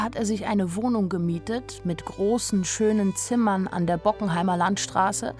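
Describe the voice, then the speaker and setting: A woman's voice: medium pitch, darkish tone, close to an everyday voice. An actress playing a candid, naive nineteen-year-old woman who tells her own story plainly in a radio drama. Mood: candid, wry. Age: young adult. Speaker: actress narrating as the main character. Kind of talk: radio drama first-person narration.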